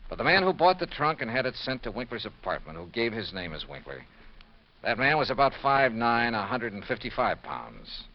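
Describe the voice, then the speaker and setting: Radio noir: wry hard-boiled investigator, dramatic swings in pitch, muffled vintage recording, faint music. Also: male voice, very animated, slight background noise. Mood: accusing, deliberate. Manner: clipped, deliberate, dramatic. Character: hard-boiled male insurance investigator, wry, reflective narrator. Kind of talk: 1950s radio detective drama acting.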